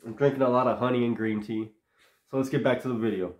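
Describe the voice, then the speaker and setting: A man's voice: mid-range voice, steady tone, quick pace, echoey room. A man with a stuffy nose from being sick, hosting his own channel and giving rambling, opinionated football takes. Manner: rambling, opinionated, talks in loose runs. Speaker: sports channel host. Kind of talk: casual solo sports commentary.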